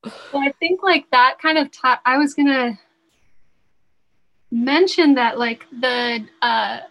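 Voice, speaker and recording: Slow, creaky woman speaking haltingly. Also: high-pitched, fairly bright tone, rough recording. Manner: halting, many fillers. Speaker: discussion participant. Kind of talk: casual group discussion.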